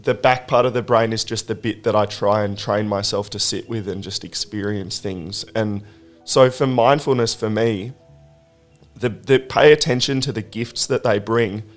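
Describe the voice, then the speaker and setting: A hoarse man who has lost his voice, hosting a recovery podcast and musing in a halting, reflective way. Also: fairly low pitch, soft background music. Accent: British. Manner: halting, with many restarts. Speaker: podcast host. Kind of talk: reflective casual chat.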